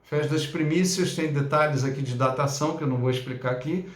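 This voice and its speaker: Chatty pastor in an echoey room. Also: male voice, fairly high pitch, fairly quick. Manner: conversational, many fillers and tag questions. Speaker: pastor and Bible teacher. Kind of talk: church teaching lesson.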